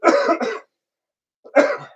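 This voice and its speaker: A man's voice: extremely slow, a bit bright, no room sound, lo-fi sound. Rambling, cursing young-sounding man host. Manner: rambling, swears often, trails off. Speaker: podcast host. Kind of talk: casual chat. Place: indoors at his home.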